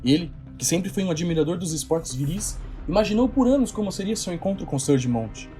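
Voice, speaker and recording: A man's voice: high-pitched, animated, brisk, warm tone. A man with a Brazilian accent reading scripted literary narration for an audio drama. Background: music.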